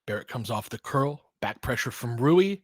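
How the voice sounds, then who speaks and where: A man's voice: mid-range voice, lively intonation, moderate pace, no echo, clean studio sound. A man doing live basketball play-by-play as a partisan fan, in short clipped phrases with casual, slangy exclamations and bits of analysis. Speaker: fan play-by-play commentator and host.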